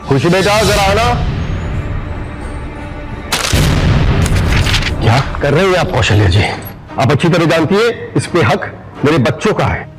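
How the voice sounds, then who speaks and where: A man's voice: on the high side, big pitch swings, a little fast, loud music behind the voice. A man playing a middle-aged father and henpecked husband, politely protesting in an audio serial drama. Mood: gentle, reproachful. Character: gentle, pleading older father, henpecked husband.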